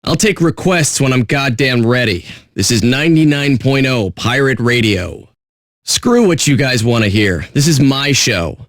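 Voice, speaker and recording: An actor playing a jaded pirate radio DJ with a sardonic, blunt, profane way of talking. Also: male voice, mid-range voice, lively intonation, unhurried, no echo, studio quality, lightly acted. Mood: bored contempt. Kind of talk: irreverent radio DJ patter.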